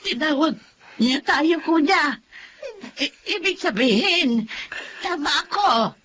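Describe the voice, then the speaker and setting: An actress playing an elderly, loving and apologetic older sister in a Filipino radio drama. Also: female voice, high-pitched, expressive, slow pace, a bit bright, slight background noise, full character voice. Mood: tearful, apologetic.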